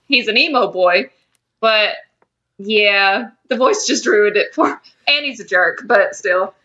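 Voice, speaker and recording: A woman's voice: mid-range voice, measured pace, bright, forceful tone, dull audio. A woman co-hosting a podcast, chatting casually and expressively about a cartoon. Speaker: podcast co-host.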